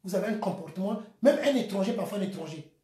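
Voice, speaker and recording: A man from the Republic of the Congo with a Congolese French accent, giving an impassioned political monologue, swinging between shouts and quiet bitter asides. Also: high-pitched, expressive, fast talker, echoey room. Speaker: activist vlogger.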